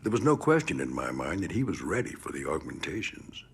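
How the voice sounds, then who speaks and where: An actor playing a gritty, middle-aged decorated military trainer in an audio drama, gruff and plain-spoken with emphatic, measured praise. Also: male voice, rumbling low, very animated, heavily acted. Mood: proud, impressed. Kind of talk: audio drama interview acting.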